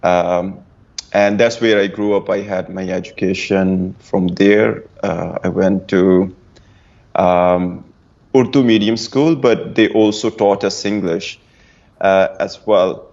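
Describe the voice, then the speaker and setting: A young man, a guest telling stories in a relaxed, casual way with frequent restarts. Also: deep voice, takes it slowly, vocal fry, lo-fi sound. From Pakistan, Punjab. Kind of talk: casual interview answers.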